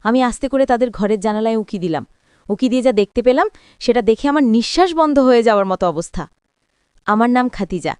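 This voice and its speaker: A woman narrates a scripted story in the first person, in a measured, dramatic way. Her voice sits a little high, and the sound is dry and close, with hardly any room on it. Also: animated, darkish tone, smooth, dry recording.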